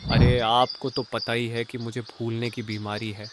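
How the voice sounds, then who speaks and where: A man's voice: medium pitch, flat delivery, moderate pace, natural voice. A chatty, forgetful neighbour from a cartoon, acted by a man. He rambles and pries in a mid-range voice. There is faint noise behind him. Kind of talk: Hindi cartoon voice acting.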